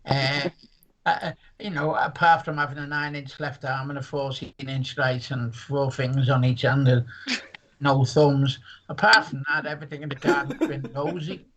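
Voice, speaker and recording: A man's voice: medium pitch, fairly even intonation, moderate pace, fairly bright tone, slight background noise, low-quality recording. A man, a comedian rambling jokily through a casual interview chat. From Merseyside, England. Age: 60s. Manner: rambling, jokey, full of asides and restarts. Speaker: comedian guest.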